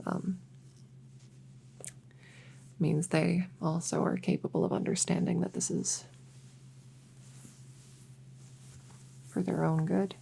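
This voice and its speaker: A woman playing a gentle, reassuring witch veterinarian in a soft-spoken ASMR roleplay, soothing and a little hesitant as she chats to her patient. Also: lowish voice, very animated, unhurried, creaky, breathy edge, exaggerated voice, low-quality recording. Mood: reassuring, chatty. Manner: soothing, hesitant, chatty bedside manner.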